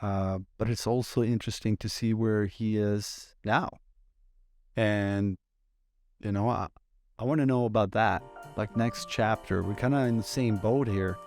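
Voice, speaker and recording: A man's voice: on the low side, steady tone, a little slow, darkish tone, dry recording, soft background music. Man hosting a podcast. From Sweden. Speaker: podcast host. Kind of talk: casual podcast chat.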